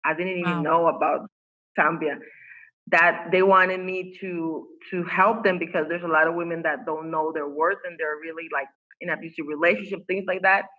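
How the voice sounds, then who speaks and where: A woman's voice: fairly low pitch, fairly even intonation, moderate pace, sharp tone, lo-fi sound. An earnest woman whose first language is Spanish, a life coach talking about her faith in an interview, with many fillers and restarts. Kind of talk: faith-based interview conversation. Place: home.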